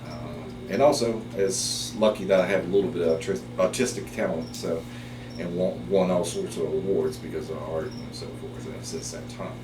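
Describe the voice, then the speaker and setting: A middle-aged man from Taiwan, whose first language is Chinese, telling his story in an interview as a biology professor. He speaks unhurriedly, with many pauses and fillers. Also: sits low, steady tone, recorded from a distance. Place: office. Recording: roomy, off-mic, with background hiss.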